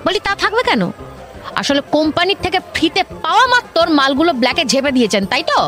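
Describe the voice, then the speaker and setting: Haughty lady official, high-pitched, swooping delivery, accusatory, hectoring, comic radio drama. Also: sing-song, fast talker, a bit bright, faint music behind. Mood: indignant, accusing. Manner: scolding, interrogating, repeats questions sharply.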